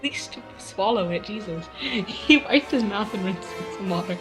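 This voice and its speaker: A woman's voice: medium pitch, lively intonation, unhurried, fairly bright tone, low-quality recording. A woman doing a casual dramatic reading with friends, giggly and often breaking off to comment on the text. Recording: music playing behind the voice. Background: music.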